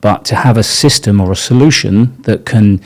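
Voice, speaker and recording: A man's voice: fairly low pitch, moderate pace, a little mellow. A man speaking as a panel guest from industry, earnest, with frequent ums. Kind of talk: casual panel discussion.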